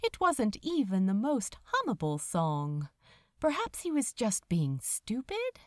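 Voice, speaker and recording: A woman reading fiction aloud as a dramatic narrator, expressive and measured, with deliberate pauses. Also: mid-range voice, dry recording, studio quality. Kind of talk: dramatic scripted audiobook narration.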